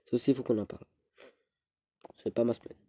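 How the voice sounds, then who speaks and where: Teen boy with a cold, husky and self-pitying, chatting over gameplay. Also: mid-range voice, steady tone, warm tone. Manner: rambling, self-pitying, halting restarts. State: stuffy with a cold. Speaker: gaming youtuber. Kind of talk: casual gameplay commentary.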